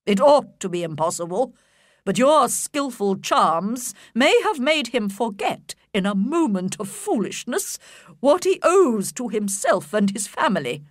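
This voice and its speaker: A haughty older aristocratic lady, put on by a woman narrator, speaks slowly with stiff, commanding emphasis in a storybook reading. Her pitch swings widely as she presses her points, and the recording sounds close and dry. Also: big pitch swings, dry recording. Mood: angry. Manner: imperious, slow and emphatic.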